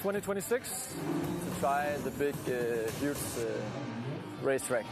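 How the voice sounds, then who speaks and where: A man in his forties from Denmark, speaking in a halting, enthusiastic way about racing. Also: medium pitch, expressive, loud music behind the voice. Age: 40s. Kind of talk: interview clip.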